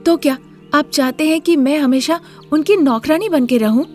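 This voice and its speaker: A woman's voice: high-pitched, normal speed, faint music behind, voice pushed up, lightly acted. A woman voicing a meek, hurt young daughter-in-law in a moral story, polite and plaintive. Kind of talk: Hindi moral story character voice.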